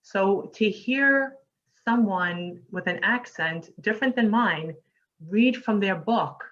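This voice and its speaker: A woman's voice: medium pitch, takes it slowly, fairly bright tone. Chatty New York woman, muffled audio. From United States. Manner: chatty and encouraging, laughs often. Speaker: host. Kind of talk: casual conversational interview.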